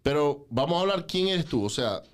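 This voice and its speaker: A man's voice: on the high side, animated, fairly quick. A man in his forties, hosting a podcast and interviewing in a conversational style. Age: 40s. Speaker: podcast host.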